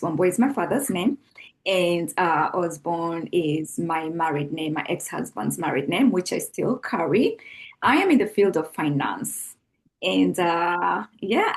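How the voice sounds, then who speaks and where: A middle-aged woman with a Kenyan accent, speaking as an interview guest in a reflective, earnest, conversational way. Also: fairly low pitch.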